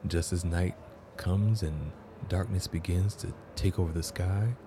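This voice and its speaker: A man narrating a guided meditation as host, in measured phrases with long pauses between them. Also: low voice, fairly even intonation, noisy background.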